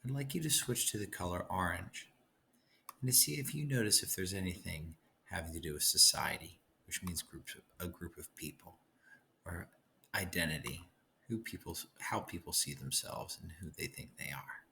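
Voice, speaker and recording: A man's voice: low-pitched, normal speed, creaky, sharp tone, a little hiss or hum. A man speaking as a teacher in a recorded read-aloud lesson, addressing students directly and instructively.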